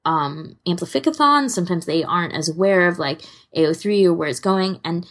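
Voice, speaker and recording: A woman podcast co-host with a husky voice, chatting casually in an informal, enthusiastic, hedging way. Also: fairly low pitch, lively intonation, fairly quick. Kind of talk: casual chat about fan fiction audio readings.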